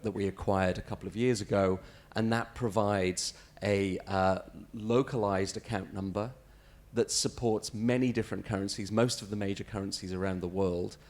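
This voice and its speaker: A man with an Australian accent speaking on a conference panel, answering in a measured corporate way with small restarts. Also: on the low side, a little mellow. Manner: measured, corporate, frequent self-corrections.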